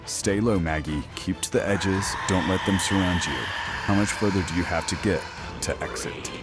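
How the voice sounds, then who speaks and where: An actor playing a commanding late-night radio host with a dry, sardonic manner in a horror audio drama. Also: male voice, low-pitched, normal speed. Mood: sardonic, firm. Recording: music behind the voice.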